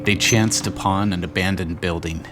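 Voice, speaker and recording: A man with a low voice narrates a dramatic game story from a script. His delivery is solemn and measured, with music clearly playing behind him. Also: steady tone.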